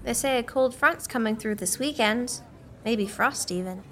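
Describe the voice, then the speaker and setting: A woman playing a polite, curious newspaper reporter in a radio play, with a measured interviewing manner. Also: fairly high pitch, sharp tone.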